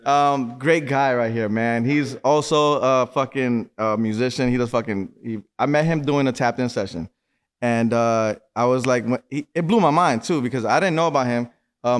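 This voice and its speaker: A young man from New York hosting a casual podcast chat, talking loosely with quick stuttering restarts and plenty of swearing. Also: medium pitch, clear, resonant tone. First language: Spanish. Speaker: podcast host.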